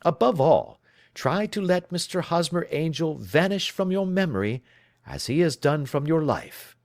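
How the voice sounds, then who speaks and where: Man reading as a brisk, assured detective, lively emphatic delivery, storybook reading. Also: fairly high pitch, no room sound, studio quality, voice pushed up. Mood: authoritative. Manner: crisp, authoritative, reassuring. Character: brisk, self-assured Victorian male detective.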